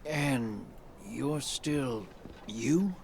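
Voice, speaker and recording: Game cutscene: an easygoing tinkerer ally, slow and lively in tone. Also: male voice, medium pitch, gravelly, slight background noise, heavily acted. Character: practical male ally, a gear-minded tinkerer. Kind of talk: video game cutscene acting.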